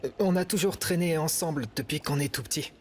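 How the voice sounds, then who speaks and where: A man's voice: a little high, brisk, slightly rough. Man acting a practical teenage boy. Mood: awkward, firm. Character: teenage boy, practical, friendly leader of friends. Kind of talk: French game dub acting.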